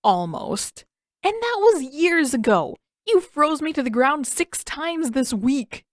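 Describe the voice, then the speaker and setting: A sarcastic teenage older brother, acted out by a woman narrator, grumbles his way through a storybook scene. His pitch jumps around in exasperated, whiny complaint. The sound is dry and close. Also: big pitch swings, slow, dry recording, full character voice.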